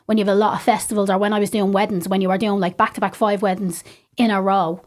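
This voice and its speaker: A Dublin woman, a singer, chatting as a podcast guest in an animated way, with a high voice. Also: fast talker. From Ireland. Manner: chatty, animated, says "like" and "do you know what I mean" often. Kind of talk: casual conversation.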